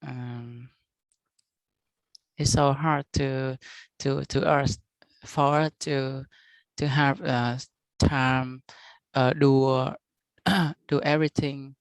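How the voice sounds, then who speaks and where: A student speaks very slowly and haltingly over a dry video call, stopping often with fillers. The English is broken and searching for words. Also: mid-range voice, fairly even intonation, no echo, rough recording. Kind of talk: halting answer in class.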